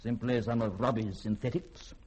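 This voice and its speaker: A man's voice: mid-range voice, fairly even intonation, moderate pace, darkish tone, slightly rough, close to an everyday voice. A man in a 1950s radio drama playing an erudite, reclusive scholar who speaks in eloquent, formal, lecturing sentences. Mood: urbane, wry.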